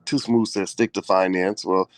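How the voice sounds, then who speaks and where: A man's voice: low-pitched, fairly even intonation, normal speed, dry recording. A man hosting a casual live-stream chat from bed, with a groggy morning voice, loose and chatty with many fillers. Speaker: host. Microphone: close mic at home, in bed.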